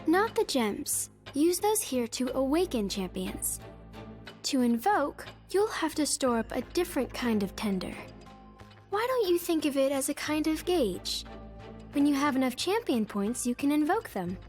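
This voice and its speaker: An actress playing a composed otherworldly guide in a video game, explaining things in a measured, instructive way. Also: female voice, sits high, very animated, darkish tone, faint music behind. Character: otherworldly woman guide who explains summoning rules, composed. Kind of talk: video game cutscene acting.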